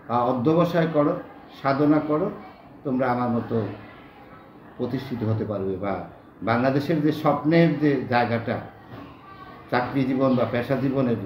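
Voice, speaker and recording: A Bangladeshi man giving an unscripted interview testimonial in an oratorical way. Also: medium pitch. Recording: echoey, dull, faint background noise.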